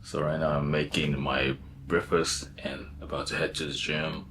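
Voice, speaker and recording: Man, 30s, from Taiwan, low pitch, relaxed rambling voice-over, light background noise. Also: normal speed. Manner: relaxed, rambling, with fillers and restarts. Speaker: vlogger, freelance designer and coach. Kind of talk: casual reflective voice-over.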